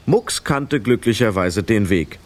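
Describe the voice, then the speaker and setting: A man narrating a children's radio play in an expressive, dramatic storytelling style. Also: lowish voice, a little slow.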